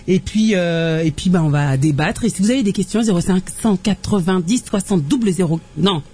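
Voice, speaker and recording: A woman hosting a live radio show as lively chat, teasing and playful, rambling as she goes. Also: fairly low pitch, normal speed, breathy edge.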